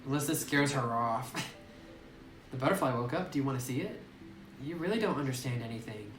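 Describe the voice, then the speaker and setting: A man's voice: medium pitch, expressive, a little fast, over music. Man gaming, distant roomy phone audio. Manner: reacts to scary moments with nervous repeats. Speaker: let's play gamer. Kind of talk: playing through a visual novel, reading text aloud. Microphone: phone mic at a distance.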